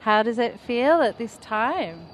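A woman's voice: on the high side, big pitch swings, slow pace. A woman acting as interviewer, introducing a guest and asking questions on location.

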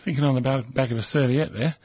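Hoarse Australian man, talk radio host, husky and dry, lo-fi sound. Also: mid-range voice, quick pace, no room sound. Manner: hesitant, many ums, repeats words. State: hoarse, croaky voice. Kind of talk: casual talk radio commentary.

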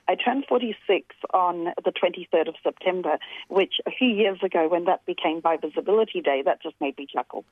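A woman's voice: fairly low pitch, brisk, breathy edge, dry recording. A woman in her forties, a guest talking thoughtfully with many fillers and restarts, over a call. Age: 40s. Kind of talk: reflective interview talk. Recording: tinny phone-like line, dry.

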